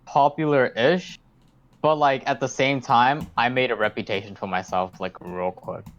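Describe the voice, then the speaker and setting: A man's voice: medium pitch, fairly even intonation, moderate pace, highs cut off. A man, telling stories in a casual podcast chat, swearing freely and rambling with lots of fillers. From South Auckland, New Zealand. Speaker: podcast co-host.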